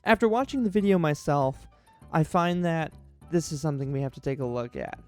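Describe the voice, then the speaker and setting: A man's voice: on the high side, a little fast, faint music behind. A man hosting an online video, talking casually and chattily to his viewers.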